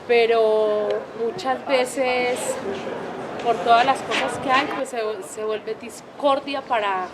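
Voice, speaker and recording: A woman's voice: on the high side, steady tone, slow pace. A woman from Colombia, giving a reflective interview statement with hesitant restarts. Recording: clear background noise.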